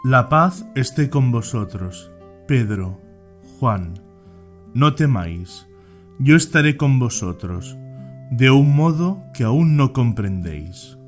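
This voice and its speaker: A man plays Jesus as a serene, solemn teacher in a radio play, reassuring his followers. His pitch is middling and his delivery very unhurried, over faint music. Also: lively intonation. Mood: gentle reassurance. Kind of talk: youth radio play acting.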